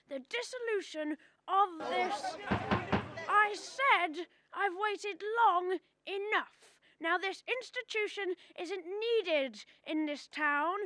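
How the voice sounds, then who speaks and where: A woman's voice: very high voice, pushed and bright, no echo, exaggerated voice. A young woman in a radio drama, playing a stern, scornful businessman in a pompous, emphatic manner. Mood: impatient, demanding. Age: young adult. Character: stern, scornful businessman demanding to shut a company down. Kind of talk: student radio drama acting.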